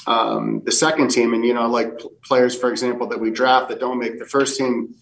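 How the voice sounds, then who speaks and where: A middle-aged American man answers interview questions at a relaxed, wandering pace, stacking fillers and restarting his sentences. His voice sits at an ordinary pitch for a man. He sounds a little set back from the microphone. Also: normal speed, vocal fry, recorded from a distance, low-quality recording. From United States. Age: 50s. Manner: rambling with many fillers and restarts. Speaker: soccer technical director.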